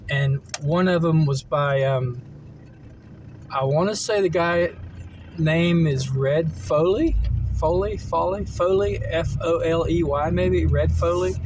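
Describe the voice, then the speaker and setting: A middle-aged man with an American accent chats informally about his memories. His voice sits a little higher than most men's. He wanders from point to point, pausing and thinking out loud. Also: noisy background. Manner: halting, meandering, thinks aloud. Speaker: vlogger telling personal stories. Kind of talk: casual rambling reminiscence. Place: camper van.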